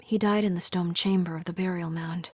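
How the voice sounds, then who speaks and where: Woman voicing a quiet, grieving historian's child. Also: fairly low pitch, fairly even intonation, brisk, background noise, close to an everyday voice.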